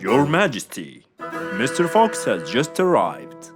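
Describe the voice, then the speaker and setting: Formal cartoon royal servant acted out, swooping pitch. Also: wildly swooping pitch, slow pace. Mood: courteous. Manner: formal, deferential. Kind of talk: children's cartoon character acting. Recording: music behind the voice.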